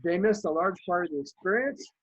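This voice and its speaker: A middle-aged man from Canada, German his first language, talks through his memories in a reflective, anecdotal way, often restarting his sentences. His voice sits high and a little husky. The sound is slightly muffled and very dry. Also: fairly quick, fairly bright tone, dry recording. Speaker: guest. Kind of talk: conversational interview storytelling.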